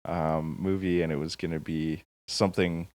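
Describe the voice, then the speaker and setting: A man's voice: very deep voice, level tone, moderate pace, a little mellow. A man co-hosting a gaming podcast in casual banter, swearing freely and rambling through half-finished thoughts full of filler words. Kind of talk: casual banter about games and films.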